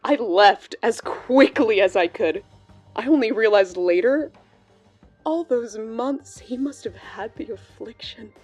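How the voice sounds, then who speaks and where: A woman playing a shaken, worried bank colleague, recounting events earnestly in a husky voice for an audio drama interview. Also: on the high side, animated, slow, a little mellow, faint music behind. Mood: haunted, frightened. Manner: hesitant, earnest, confiding.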